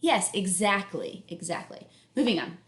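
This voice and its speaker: A woman reading a story aloud like a teacher, pausing to explain and check understanding with young listeners. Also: medium pitch, very animated. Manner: instructional, checks understanding, affirms listeners' answers. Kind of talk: read-aloud narration with questions to young listeners. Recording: echoey room sound.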